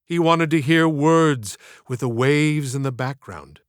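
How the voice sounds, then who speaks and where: A man reading literary scripted narration as an audiobook author, in a measured, theatrical way. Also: fairly high pitch, clean tone, no echo, clean studio sound.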